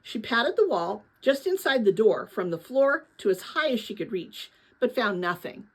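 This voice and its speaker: Female storybook reader, slightly high and breathy-husky, lively melodic range, dramatic read-aloud for kids. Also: low-quality recording. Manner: expressive, pauses to address young listeners with questions. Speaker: read-aloud narrator of a children's novel.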